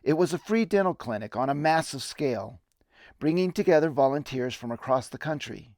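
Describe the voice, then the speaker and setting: A middle-aged man giving a dramatic reading of his own memoir in deliberate, theatrical storytelling. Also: fairly high pitch, lively intonation, darkish tone, dry recording, clean studio sound. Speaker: narrator and videographer. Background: music bed.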